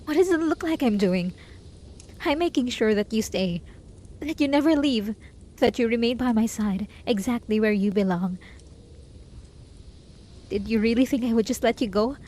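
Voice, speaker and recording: A woman's voice: on the high side, moderate pace, pauses often, dark tone, lightly acted. A woman playing a lonely, obsessive hermit in an intimate roleplay monologue, pleading desperately with sighs and whispers. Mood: frantic, possessive. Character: lonely, obsessive, lovesick hermit begging a traveler to stay.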